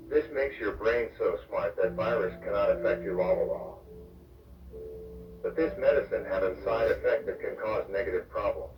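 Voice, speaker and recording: A man delivering comic scripted narration in a crude, flippant way, as the narrator of a parody public warning. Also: lowish voice. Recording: muffled, echoey, distant, under music.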